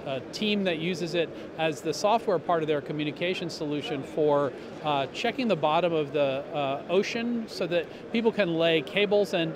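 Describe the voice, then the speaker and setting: A middle-aged man explaining a product in an upbeat way at a trade show booth, talking into a handheld mic, with lots of fillers and restarts. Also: on the high side. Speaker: company representative. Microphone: handheld interviewer mic. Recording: noisy trade show hall.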